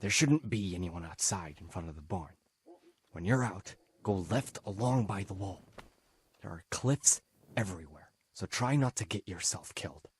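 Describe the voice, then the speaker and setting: An actor playing a shifty, scheming camp guard in a video game, with a raspy voice. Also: male voice, low-pitched, lively intonation, moderate pace, heavily acted. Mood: conspiratorial, instructing. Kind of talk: video game cutscene acting.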